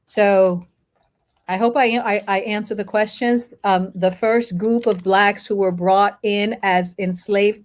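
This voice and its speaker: A middle-aged woman born in Haiti and raised in the United States, explaining history as an interview guest in emphatic, short phrases broken by pauses. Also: mid-range voice. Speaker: guest speaker, lawyer and activist.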